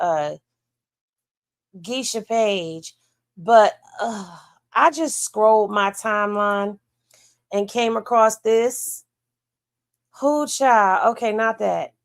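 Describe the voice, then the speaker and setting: A woman's voice: medium pitch, takes it slowly. A woman hosting a livestream, chatting casually in with a drawling, folksy way of gossiping. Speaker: livestream host.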